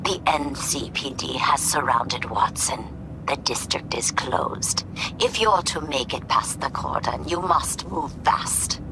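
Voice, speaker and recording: A very low, hushed female voice for an older crime fixer in a video game. She is slow, breathy and formal, coolly courteous in every phrase. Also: animated, whispered, heavily acted, low-quality recording. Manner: formal, measured, silky politeness. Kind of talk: cinematic video game acting.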